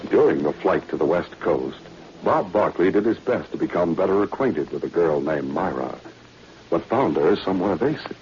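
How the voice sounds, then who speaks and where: Deep dramatic male narrator, vintage radio. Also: expressive, moderate pace, a little mellow. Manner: dramatic storytelling. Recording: dull, faintly noisy vintage radio recording.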